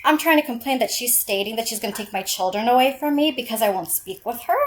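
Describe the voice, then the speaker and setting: A woman's voice: on the high side, lively intonation, fast talker. A woman calling to file a complaint on a recorded phone call, speaking in an insistent, persistent way. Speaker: caller filing a complaint.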